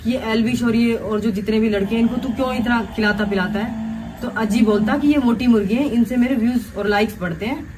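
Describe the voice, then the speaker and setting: Indignant woman, slightly high voice. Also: a little fast, background noise. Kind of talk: accusatory talk to camera.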